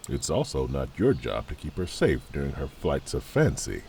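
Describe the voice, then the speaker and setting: A man playing a dry, weary royal knight and protector in a fantasy audio drama, with a gravelly voice. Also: a bass voice, big pitch swings, normal speed, darkish tone, a little hiss or hum, full character voice. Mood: dry exasperation.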